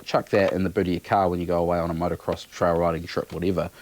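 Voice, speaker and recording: A man's voice: deep voice, flat delivery, quick pace, a little mellow. A man giving a casual, unscripted product review in an offhand, matter-of-fact way.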